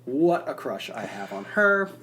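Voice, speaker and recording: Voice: mid-range voice, very animated, measured pace, vocal fry, bright, forceful tone. A podcast co-host chatting casually and gushing like a fan. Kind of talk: casual chat.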